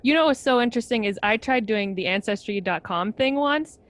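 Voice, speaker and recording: A woman chatting casually in a relaxed conversation, sharing her own experience. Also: a little high, a little fast. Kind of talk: casual chat.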